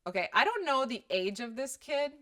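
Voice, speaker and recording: A woman's voice: high-pitched, sing-song, moderate pace, fairly bright tone. A middle-aged woman from Croatia with a slight Croatian accent, chatting casually about parenting and answering viewer questions on a live stream, candid and self-deprecating.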